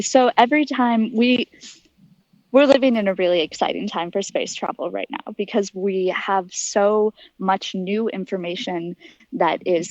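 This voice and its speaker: A young woman of about twenty-one speaks at a middle pitch as an interview guest. Her answers are articulate and earnest, laid out in an explanatory way. The sound is dry and a little dull, with a lo-fi edge. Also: no echo. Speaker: interview guest, aspiring astronaut.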